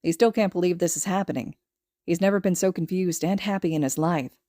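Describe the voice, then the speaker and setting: Lowish-voiced woman narrating, very dry sound. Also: a little fast, mellow, no echo. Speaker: podfic narrator. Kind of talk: expressive scripted story narration.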